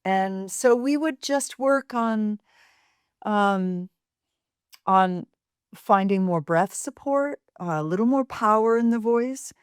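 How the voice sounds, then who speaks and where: An American woman, a voice professor being interviewed, with a fairly deep voice, speaking thoughtfully with pauses and fillers. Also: animated, clear, resonant tone, no room sound, studio quality. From United States. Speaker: voice and speech professor, guest. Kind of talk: interview answers.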